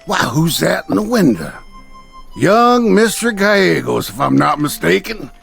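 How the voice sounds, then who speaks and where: An actor playing a loud, taunting, cruel peddler in an old-time radio Western, with a sing-song salesman's patter. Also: sits high, very animated, measured pace, gravelly, faint music behind, full character voice. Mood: nosy, jeering.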